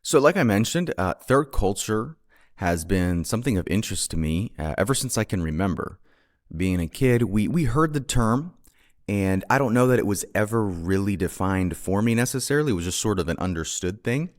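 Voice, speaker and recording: A man's voice: lowish voice, lively intonation, moderate pace. A man in his thirties hosting a solo podcast, talking through his past unscripted in an earnest, reflective way, often repeating words and restarting sentences. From Honduras. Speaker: podcast host.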